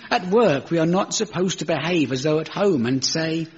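A man's voice: fairly high pitch, lively intonation, moderate pace, slightly rough, highs cut off. A man with a nasal, mumbling voice hosting a comedy show, delivering a wry, sardonic scripted monologue.

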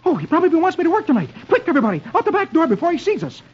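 A man voicing an excitable, hapless comic-strip husband in a shrill, exclaiming voice, in an old-time radio funnies reading. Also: racing, slight background noise, exaggerated voice. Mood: anxious. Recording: dull old radio recording.

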